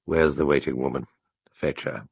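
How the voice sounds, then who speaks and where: Cold-blooded killer for Jacobean tragedy, rock-bottom pitch, rasping, terse and menacing, dry sound. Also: male voice, moderate pace, no echo, heavily acted. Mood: cold menace. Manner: curt, menacing, deadpan. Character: cold ruthless male executioner in a tragedy. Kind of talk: Jacobean stage tragedy acting.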